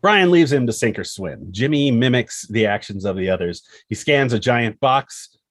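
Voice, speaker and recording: A man's voice: medium pitch, expressive, normal speed, dry recording. A man reading scripted screenplay action and scene headings as the narrator.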